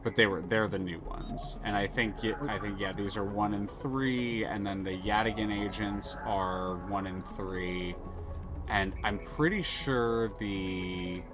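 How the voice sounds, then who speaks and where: A man's voice: lowish voice, a little slow, over music. A man streaming a story game live, reading narration aloud and thinking aloud between moves, with frequent restarts.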